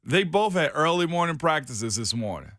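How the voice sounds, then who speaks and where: A man's voice: on the high side, big pitch swings, normal speed, bright, forceful tone, no room sound, studio quality. A middle-aged man hosting a sports radio show, talking in a laid-back, slangy drawl. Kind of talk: casual morning radio chat. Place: radio studio. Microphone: studio microphone.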